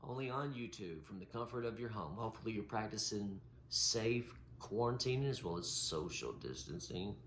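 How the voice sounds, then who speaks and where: A man's voice: on the low side, lively intonation, moderate pace, few pauses, a bit bright. A man with a stutter, co-hosting a casual podcast, often repeating and breaking off sounds. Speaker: podcast co-host. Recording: roomy echo with faint noise.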